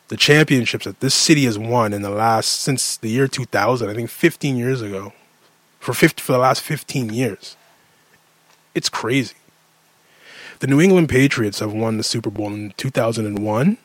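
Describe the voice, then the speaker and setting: A man's voice: mid-range voice, dry recording. A Canadian man in his late thirties giving a casual, emphatic sports rant, often repeating phrases for effect in an exasperated way. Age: late 30s.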